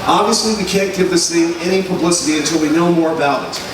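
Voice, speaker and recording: A man's voice: a little high, fast talker, natural voice. Man playing an earnest reporter, distant and echoey. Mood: placating, firm. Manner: placating, insistent, explanatory. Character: earnest, reasonable male newspaper reporter calming everyone. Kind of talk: old-time radio drama acting. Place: live stage recreation in a large hall. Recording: echoey hall from far off, noisy.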